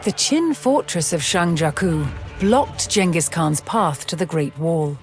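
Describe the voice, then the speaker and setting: Low-pitched woman narrating history over music. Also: expressive. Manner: measured, formal storytelling delivery. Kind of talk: scripted documentary-style historical narration.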